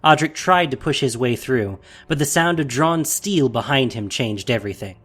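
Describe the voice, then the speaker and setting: A man narrates a dramatic story in an expressive, theatrical style. His mid-pitched voice moves in lively swings, with faint music underneath. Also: normal speed.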